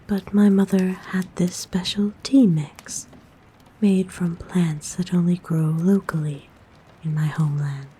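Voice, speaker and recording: A tender forest witch acted by a woman speaks in a soft, breathy whisper, low and dark in tone. She is kind and soothing, as in an ASMR roleplay, with faint ambient noise behind her. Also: fairly even intonation, a little slow, close to an everyday voice. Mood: fond, nostalgic. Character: kind, gentle forest witch sheltering a lost traveler.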